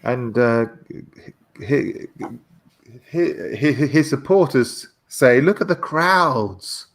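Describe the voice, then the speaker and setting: A man's voice: medium pitch, expressive, extremely slow. A British man, a guest in a casual political chat, speaking hesitantly and often repeating words as he searches for the next one.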